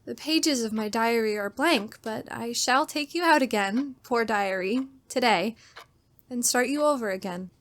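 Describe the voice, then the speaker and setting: An actress as a fragile, unhinged woman in an old-style radio horror play. Her voice is very high and she speaks slowly and theatrically, as if fear and madness sit close together. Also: lightly acted. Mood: frail, brooding. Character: fearful, unhinged woman diarist who killed her brother-in-law. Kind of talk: old-time radio horror drama acting.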